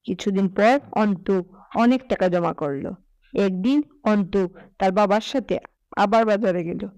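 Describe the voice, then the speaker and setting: Lady reading a kids story, mid pitched voice, no echo at all. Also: measured pace, darkish tone. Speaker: narrator. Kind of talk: scripted children's story narration.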